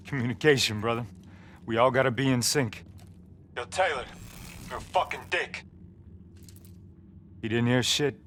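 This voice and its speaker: A man's voice: medium pitch, moderate pace, pauses often, a bit bright, slight background noise, lightly acted. A man playing a brash, foul-mouthed soldier mentor in a video game, talking in a slangy, brotherly, swaggering way. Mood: teasing, cocky. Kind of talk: video game cutscene acting.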